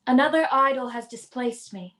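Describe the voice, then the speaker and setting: A woman's voice: high voice, normal speed, a bit bright. A woman playing a sorrowful, reproachful young sweetheart in a radio play, recorded in an echoey room. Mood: hurt, reproachful. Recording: echoey room over video call.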